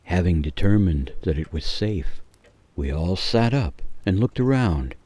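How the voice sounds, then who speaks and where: Deep-voiced man narrating a radio story. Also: lively intonation, a little slow, mellow.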